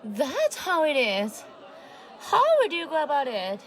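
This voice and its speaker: A patient young yokai woman played by a woman in an audio drama. She speaks slowly in a high, lively voice, politely chiding, over faint music. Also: some chatter behind, low-quality recording. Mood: friendly, chiding. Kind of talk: radio drama acting.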